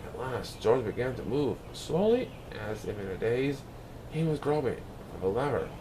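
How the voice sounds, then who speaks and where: A man reading a children's picture book aloud in an expressive, dramatic way, occasionally stumbling over words. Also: mid-range voice, moderate pace, background noise. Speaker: storybook reader, show host.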